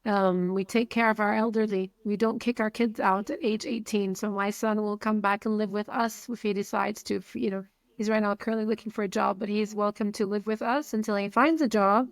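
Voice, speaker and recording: A woman's voice: mid-range voice, steady tone, a little fast, no room sound, low-quality recording. Frank Palestinian-accented woman in her forties. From Saudi Arabia. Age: 40s. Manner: frank, repeats words when starting a thought. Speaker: guest. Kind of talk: casual interview talk.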